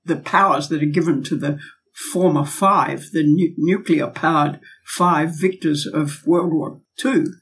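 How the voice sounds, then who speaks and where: A woman's voice: sits low, a little slow, husky. A woman, a former diplomat and academic, speaking as a guest in a podcast interview and arguing her points emphatically with rhetorical questions. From Australia.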